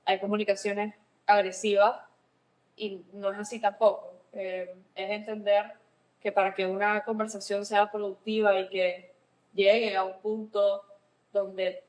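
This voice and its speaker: A woman's voice: mid-range voice, rough recording. A woman with a Nicaraguan Spanish accent, explaining things conversationally in a semi-professional register as a therapist and podcast co-host.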